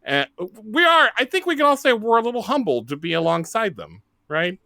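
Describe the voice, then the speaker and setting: Bright, animated male podcast host. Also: sits high, a little fast, creaky, dry recording, clean studio sound. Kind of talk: casual podcast hosting.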